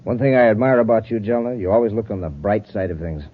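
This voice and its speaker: Husky, quick-talking sly henchman. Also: on the low side, lively intonation. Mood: wry. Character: cold, sly male saboteur henchman in space serial. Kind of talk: vintage radio serial acting. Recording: muffled old radio recording.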